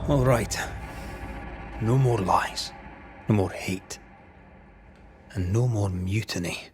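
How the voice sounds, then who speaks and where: An actor playing a solemn ghost hunter in a video game, deliberate and authoritative as he interrogates and passes judgement. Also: on the low side, music in the background. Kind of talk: dramatic video game acting.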